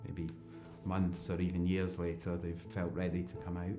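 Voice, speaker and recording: A Scottish man in his thirties with a very low voice talks in a level, measured way. His voice carries some room echo, and music plays clearly underneath. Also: monotone. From Scotland. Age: 30s. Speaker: football coach. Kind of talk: documentary interview. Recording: roomy interview with music bed.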